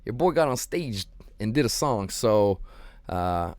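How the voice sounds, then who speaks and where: Laid-back low-voiced man rambling casually. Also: animated, a little slow, darkish tone, no echo, clean studio sound. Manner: laid-back, rambling, lots of ums and slang. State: slightly hoarse. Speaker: podcast host. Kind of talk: casual solo livestream chat.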